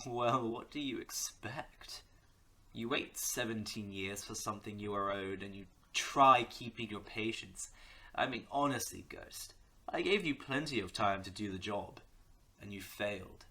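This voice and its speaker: An arrogant crime lord acted by a man holds forth in a fairly low, hard-edged voice. He is theatrical and condescending, savoring every threat as a villain in an audio drama. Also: measured pace, pushed and bright. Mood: gloating. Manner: theatrical, condescending, chuckling menace. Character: menacing, arrogant male crime boss, smug mentor turned captor. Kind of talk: audio roleplay villain acting.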